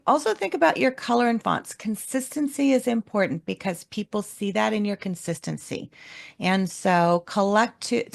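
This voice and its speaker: A woman co-hosting a business webinar, explaining homework questions in a calm, measured teaching manner. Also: medium pitch, creaky. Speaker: webinar co-host, leadership coach. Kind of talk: instructional webinar talk.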